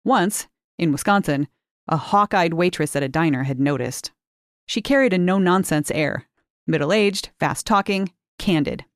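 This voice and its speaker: A woman with a slightly low voice narrates a short story in a measured, expressive way. She lifts key words for emphasis. The recording is close and dry, with no room sound. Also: dry recording. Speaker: reader of short fiction.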